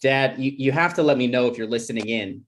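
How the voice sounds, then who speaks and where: A man's voice: medium pitch, fairly quick, close to an everyday voice. A man playing a sensitive, jokey twenty-six-year-old boyfriend in a screenplay table read, casual and self-deprecating. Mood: awkward, defensive.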